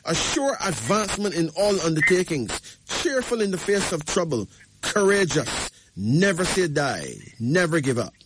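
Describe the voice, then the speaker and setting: An emphatic man hosts a show and gives a numerology reading, his voice sitting a little high for a man. He presses his points and keeps checking in with the caller. Faint noise hums behind him. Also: very animated, moderate pace. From Barbados. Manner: emphatic, repeats "right?" to the caller. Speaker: radio host.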